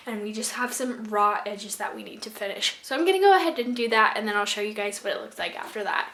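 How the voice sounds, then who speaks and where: A young woman in her twenties with a slightly hoarse voice from being sick, casually explaining sewing steps with lots of ums. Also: fairly high pitch, fairly bright tone, slight background noise. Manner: chatty, filler-heavy explaining, many ums. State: hoarse, recovering from illness. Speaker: sewing vlogger. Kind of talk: casual tutorial vlog. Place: home sewing room at night. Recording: echoey room, distant camera mic.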